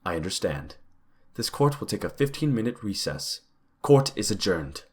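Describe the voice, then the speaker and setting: A man's voice: lowish voice, very animated, normal speed, very noisy. A man playing an authoritative, formal courtroom judge in an audio drama. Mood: commanding, formal. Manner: formal, commanding. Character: authoritative, fair-minded male courtroom judge. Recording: loud music behind the voice. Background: loud background music.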